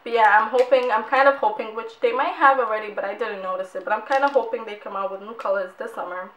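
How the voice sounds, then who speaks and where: Young woman beauty vlogger, hoarse, chatty and rambling, slightly high voice, echoey home room. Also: quick pace, fairly bright tone, muffled recording, a little hiss or hum. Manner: chatty, rambling, repeats words for emphasis. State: hoarse, losing her voice. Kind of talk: casual product review.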